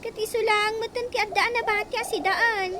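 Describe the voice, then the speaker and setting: A woman's voice: very high voice, steady tone, moderate pace. A woman in an Ilocano radio comedy drama, chatty and quizzical. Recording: noisy background hiss.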